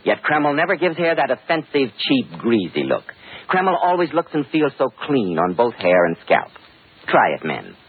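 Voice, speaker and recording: A tinny vintage radio recording of a male announcer with a very husky mid-range voice. His pitch moves in big lively sweeps as he delivers a polished, emphatic 1940s sales patter. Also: normal speed.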